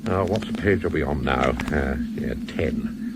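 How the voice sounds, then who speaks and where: A man's voice: very deep voice, unhurried, very noisy. A British man playing an urbane, mocking devil in a 1970s radio play, pompous and wry. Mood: wry, brisk. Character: urbane, mocking male devil, theatrical and impatient.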